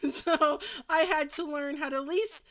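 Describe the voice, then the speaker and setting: A young woman in relaxed casual conversation, swearing freely as she talks. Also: high-pitched, normal speed.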